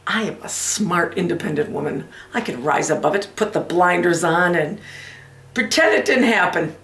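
A woman's voice: fairly low pitch, normal speed, a bit bright, natural voice. A woman playing a brash, wounded middle-aged wife in a comic dramatic monologue, melodramatic, volatile and emphatic. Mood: forced composure. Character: brash, wounded middle-aged wife, betrayed after twenty-five years. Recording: echoey room.

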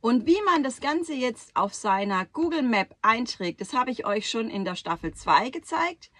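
A woman's voice: medium pitch, fairly bright tone, dry recording. A woman in her thirties, a travel vlogger talking to camera from inside a camper van, explaining step by step how she plans trips. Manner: clear, instructive, lists items one by one. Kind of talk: explanatory vlog talk to camera. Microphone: camera at close range across a table.

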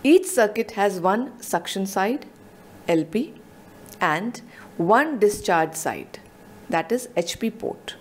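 A woman narrating a scripted technical explanation in a measured, explanatory voice-over. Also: medium pitch, lively intonation.

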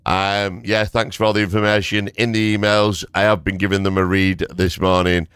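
A hoarse man giving casual, rambling gameplay commentary as a gaming YouTuber, thanking his viewers. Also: deep voice, little pitch movement, moderate pace, fairly bright tone, dry recording, studio quality.

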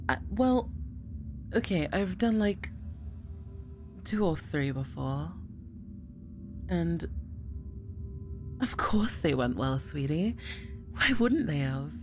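A woman's voice: on the low side, expressive, takes it slowly, long pauses, a little hiss or hum. Woman playing a teasing, bluffing girlfriend. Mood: playful.

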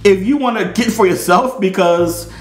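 A man's voice: sits high, normal speed. A middle-aged man with an American accent hosting a casual, comedic unboxing in a playful, theatrical way. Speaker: unboxing host. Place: small indoor studio set. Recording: roomy, echoey indoor sound.

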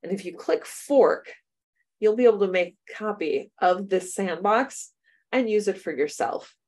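A woman's voice: medium pitch, animated, measured pace, a little mellow. An American woman, middle-aged, leading a computer science workshop for teachers over a video call, chatty and upbeat and laughing often. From United States. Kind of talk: webinar workshop demo. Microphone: webcam video call.

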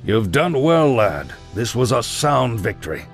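A man playing a stern, fatherly old paladin mentor in a fantasy game, measured, grave and commanding. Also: medium pitch, big pitch swings, soft background music. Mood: reassuring.

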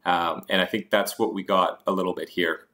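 A man's voice: low-pitched, steady tone, normal speed, bright, forceful tone. A man presenting investing slides as a host, explaining points informally with hesitant asides.

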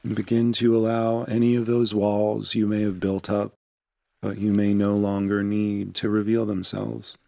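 A man with a very deep voice leads a guided meditation. His delivery is gentle and unhurried, with a noticeable creak in the tone. The sound is dry and close, free of room echo. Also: flat delivery. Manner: gentle, unhurried, soothing instruction.

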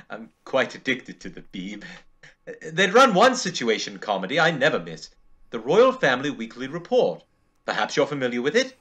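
Urbane alien leader, radio serial, genial, bright forceful tone, very animated delivery. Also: male voice, medium pitch, moderate pace, husky, heavily acted. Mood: genially amused. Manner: genteel, courteous, wry. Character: urbane, genial Martian alien chief, male. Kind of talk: old-time radio serial acting.

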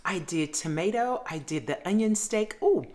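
A woman's voice: on the low side, lively intonation, moderate pace, a little mellow. A middle-aged woman chatting casually to her viewers while demonstrating a recipe in her home kitchen. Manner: chatty, addresses viewers as fam. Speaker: cooking vlogger. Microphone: camera facing her at counter.

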